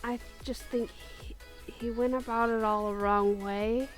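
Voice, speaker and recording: A woman's voice: on the high side, steady tone, measured pace, faint music behind. A woman casually chatting through her favorite picks, gushing and giggly, often restarting her sentences. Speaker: video creator. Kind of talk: casual countdown chat.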